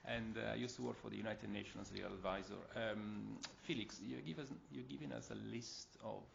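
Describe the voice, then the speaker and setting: A man asking a question from the floor at a talk in a measured, formal way, some distance from the microphone. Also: fairly low pitch, fairly even intonation, vocal fry, a little hiss or hum. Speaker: audience member asking a question. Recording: muffled recording, voice far from mic.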